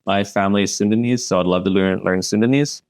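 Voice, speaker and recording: A man's voice: on the low side, fairly even intonation, quick pace, darkish tone. A young man in his mid-twenties co-hosting a casual podcast, talking hesitantly with lots of fillers and frequent laughs. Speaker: podcast co-host.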